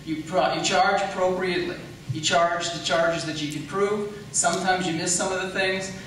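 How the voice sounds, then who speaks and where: A man's voice: sits high, steady tone, moderate pace, fairly bright tone. A man in a political debate making an argumentative rebuttal as a candidate, explaining step by step and posing questions. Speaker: candidate for county attorney. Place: large echoey room at a public debate. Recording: echoey room, distant mic, faint noise.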